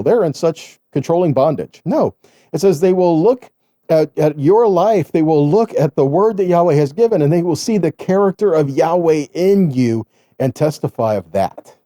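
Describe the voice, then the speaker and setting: A man's voice: on the high side, warm tone, no room sound, studio quality. A man giving a sermon as a religious teacher, reading scripture and explaining it in a conversational way, checking in with his listeners.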